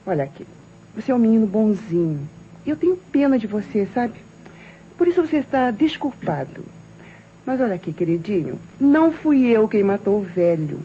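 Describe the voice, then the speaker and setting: A woman's voice: medium pitch, sing-song, moderate pace, lightly acted. An actress playing a brazen, sarcastic Brazilian showgirl in an old radio detective drama, mocking and slangy. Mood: teasing, condescending. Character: brazen, sarcastic young showgirl murder suspect. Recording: muffled, noisy old radio recording.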